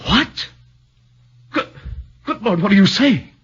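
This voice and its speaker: A man's voice: high voice, animated, takes it slowly, fairly bright tone, husky, heavily acted. A man voicing an anxious young accused husband who speaks nervously and earnestly, stammering, in a 1940s radio drama on a muffled old broadcast.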